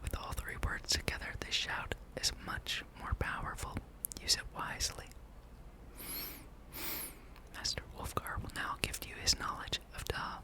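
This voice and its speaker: A person whispering the lines of a grave, formal old monk mentor from a fantasy game, in a breathy voice. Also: unhurried, lightly acted, low-quality recording. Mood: solemn. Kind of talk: whispered read-aloud of fantasy game dialogue.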